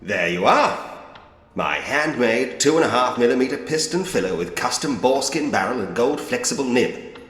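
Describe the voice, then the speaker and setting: A man's voice: medium pitch, animated, moderate pace, fairly bright tone, close to an everyday voice. A man playing a genial Victorian police detective with a British accent in a ghost story game, polite, quaint and dryly humorous. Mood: dryly whimsical. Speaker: player character in a point-and-click ghost story game. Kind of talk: video game voice acting. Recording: echoey reverberant hall sound with music. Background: music.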